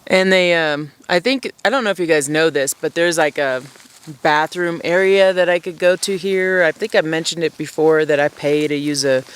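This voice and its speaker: A woman with a low voice, chatting casually to camera outdoors at her forest camp through a camera-mounted mic, rambling and self-deprecating. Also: smooth. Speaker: vlogger living at a wilderness camp.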